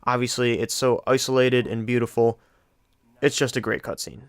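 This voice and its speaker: Clear-voiced, enthusiastic young man. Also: mid-range voice, steady tone, moderate pace, dry recording. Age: young adult. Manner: enthusiastic, effusive. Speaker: video game channel host. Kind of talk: casual countdown commentary.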